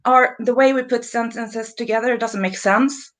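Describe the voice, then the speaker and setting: A woman's voice: a little high, fairly even intonation, moderate pace. Swedish woman chatting on a video call. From Sweden. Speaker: guest. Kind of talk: casual video-call chat.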